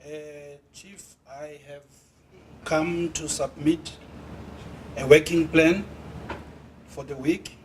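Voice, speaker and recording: A man's voice: fairly high pitch, fairly even intonation. A man playing a deferential supervisor who hands an overtime plan to his boss, in an amateur workplace role-play. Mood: respectful. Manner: deferential, measured. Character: polite male supervisor submitting an overtime plan. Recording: distant mic with room noise.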